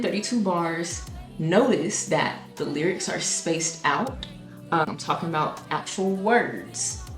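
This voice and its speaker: A young woman, a singer-songwriter, casually explains her own song with many ums and restarts and now and then sings a note; her voice is low. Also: reverberant. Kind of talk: casual music breakdown.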